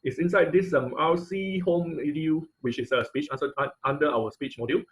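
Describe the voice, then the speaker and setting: Man teaching a halting online tutorial. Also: fairly high pitch, slight background noise. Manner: halting, repeats words, often checks with "okay" and "right". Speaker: online class instructor. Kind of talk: informal live technical tutorial. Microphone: online video call.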